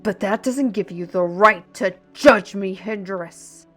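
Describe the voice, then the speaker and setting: A woman playing a proud, fiery, defiant artisan steward in a fantasy audio roleplay, formal and deliberate. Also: medium pitch, soft background music. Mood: indignant.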